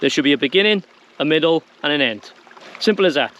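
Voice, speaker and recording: A man's voice: a little high, animated, quick pace, a bit bright, muffled recording. A man presenting an informal how-to video to viewers in a plain-spoken, conversational way. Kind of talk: informal instructional talk to viewers.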